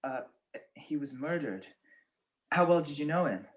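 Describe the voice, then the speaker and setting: A man's voice: on the high side, lively intonation, measured pace. A man playing a wisecracking, easygoing private detective in a radio-style mystery, with a wry, offhand manner. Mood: wry, groggy. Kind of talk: 1940s radio detective acting.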